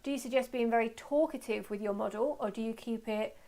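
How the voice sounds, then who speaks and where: A woman giving an informal live webinar as a workshop presenter, chatting away in an excitable, self-deprecating manner and taking audience questions. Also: fairly high pitch, a little fast. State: voice slightly going from talking.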